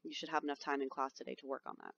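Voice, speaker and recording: A woman's voice: extremely low pitch, level tone, rapid-fire, creaky, a bit bright, dry recording, dull audio. Whiny-voiced woman teacher giving instructions. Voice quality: whiny, nasal-sounding voice. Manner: chatty, reassuring, explains step by step with frequent ums. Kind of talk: recorded classroom instructions over slides. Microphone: computer microphone, screen recording.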